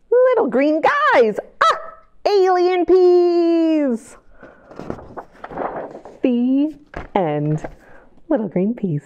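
Animated woman reading to young children. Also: extremely high pitch, drawn-out words, pauses often, lo-fi sound. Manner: animated and sing-song, with gasps and questions to young listeners. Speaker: children's librarian. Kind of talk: reading picture books aloud at storytime.

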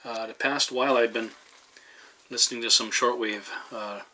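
Very bright-voiced man, hesitant vlogger. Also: medium pitch, steady tone, normal speed, poor audio. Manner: hesitant, rambling. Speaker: radio hobbyist vlogger. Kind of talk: casual demonstration vlog. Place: indoors at a radio receiver. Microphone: handheld camera microphone. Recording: faint noise behind handheld camera.

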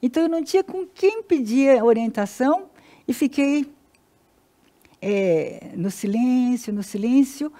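A woman in her sixties from Paraná with a Brazilian Portuguese accent, telling her life story as an interview guest in a halting, earnest way. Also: high voice, animated, warm tone. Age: 60s. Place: indoor TV-style studio.

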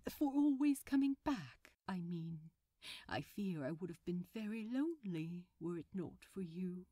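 Storybook villain: polite, smitten gentleman played by a woman, coy and unhurried. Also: lowish voice, animated, slightly rough, no echo, clean studio sound, voice pushed down. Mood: sincere. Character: genteel, coy male supervillain, affectionately smitten.

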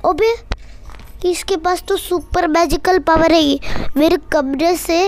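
A woman's voice: very high-pitched, steady tone, takes it slowly, a little mellow, a little hiss or hum. A young girl giving casual, chatty and playful commentary on a game she is playing. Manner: chatty, playful, breaks into nonsense sounds and singing. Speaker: gamer commentating on own play. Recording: music behind the voice.

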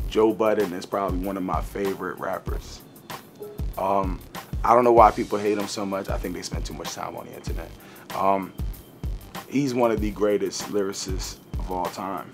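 Laid-back young man, low voice, casual. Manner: laid-back, swears freely, many fillers. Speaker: rapper guest. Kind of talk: casual interview answers. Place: studio, green-screen set.

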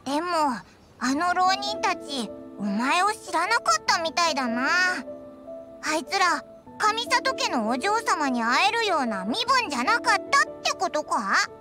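A woman's voice: extremely high pitch, sing-song, a little slow, over loud music, heavily acted. A woman voicing a small, cheeky, childlike fairy companion with brash boyish speech in an anime game. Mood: blunt, curious.

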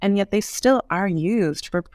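A woman's voice: medium pitch, a little slow, a little mellow, no echo, clean studio sound. A woman giving thoughtful, articulate explanations as an interview guest, weighing her points with care. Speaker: podcast guest, urban design expert.

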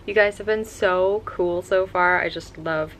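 A woman's voice: fairly low pitch, steady tone, measured pace, poor audio. A young American woman chatting casually and informally to camera in a reflective way as a book vlogger. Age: young adult. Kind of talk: casual vlog chat. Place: bedroom at home, indoors. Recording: clear ambient room noise.

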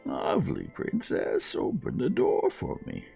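A man voicing a persistent, pleading male frog in a fairy tale, speaking in a slow, imploring way. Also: mid-range voice, huge pitch swings, vocal fry, warm tone, slightly rough, faint music behind, pitched above the performer's own voice, heavily acted. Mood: insistent.

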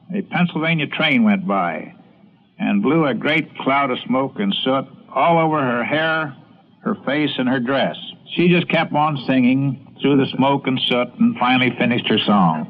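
An older male former announcer tells anecdotes haltingly at a middling pitch. The archival recording is thin and narrow, like a telephone line. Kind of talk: recounting reminiscences.

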